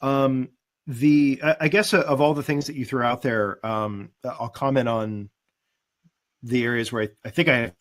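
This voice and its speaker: A man speaking as a guest casting director, giving conversational advice in a halting, careful way. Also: mid-range voice, fairly even intonation.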